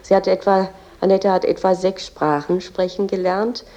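An actress playing an earnest, reverent tour guide, explaining in a halting way with small self-corrections. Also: female voice, mid-range voice, fairly even intonation, mellow, lightly acted. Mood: earnest, explanatory. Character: female museum guide, earnest and reverent about a poet's family. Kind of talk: guided-tour commentary in a radio drama.

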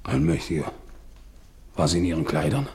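A gruff working man in a radio play, with a lowish voice. He is a scornful, bullying father who speaks in blunt, threatening bursts. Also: sing-song, moderate pace, dark tone, full character voice. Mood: uneasy, grudging. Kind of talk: German radio play acting.

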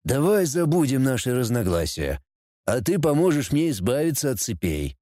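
A man's voice: mid-range voice, sing-song, moderate pace, dark tone, no echo, natural voice. An irritable devil monarch, played by a man narrator, growling and weary of his heir. He grumbles with exasperation in a dry fantasy audiobook recording. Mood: grudging.

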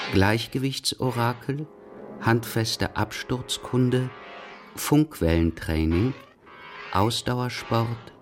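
A man with a standard German accent reads scripted literary radio narration in a measured, deliberate way. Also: fairly low pitch.

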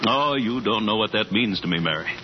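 A man's voice: fairly low pitch, animated, fairly quick, natural voice. An actor playing a hearty, sentimental, fatherly older newspaper boss in a 1940s radio comedy. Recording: muffled, noisy old radio broadcast.

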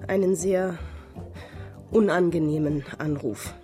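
A woman's voice: on the low side, slow, dark tone, soft background music. An actress playing an uneasy, guarded rich woman in a radio drama, speaking in a clipped, formal and defensive way. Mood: indignant, formal. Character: reclusive rich heiress, uneasy, guarded, curtly polite.

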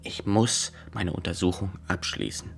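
A man playing an earnest young fox inspector in a radio drama, speaking in a stiff, formal and deliberate way. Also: deep voice, steady tone, gravelly, soft background music. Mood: stiff, unyielding. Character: rigid, rule-bound young fox inspector, official and earnest.

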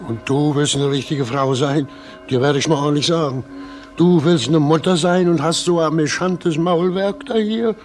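Ein Schauspieler spielt in einem Hörspiel einen frommen, strengen alten Weber und Familienvater, ernst und mahnend, mit schlesischem Akzent. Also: male voice, on the high side, moderate pace, faint music behind, lightly acted. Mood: reproachful. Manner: earnest, admonishing. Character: pious, stern old weaver and family father. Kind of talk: German radio play acting.

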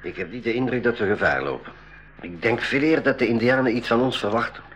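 A man's voice: medium pitch, quick pace, a bit bright, lightly acted. A man playing a wary, level-headed expedition member in a school radio drama.

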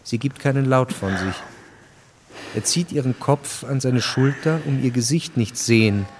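An actor narrating a historical radio drama as a sober storyteller, measured and matter-of-fact. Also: male voice, mid-range voice, darkish tone, slight background noise, natural voice.

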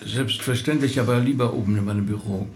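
Guy acting as a middle-aged rabbi in a radio play, gravelly voice, reflective and chatty, bit hesitant. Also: fairly low pitch, fast, darkish tone, a little hiss or hum, close to an everyday voice. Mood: attentive, obliging. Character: thoughtful male rabbi recounting his late relative's life. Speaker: actor. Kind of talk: naturalistic radio drama dialogue.

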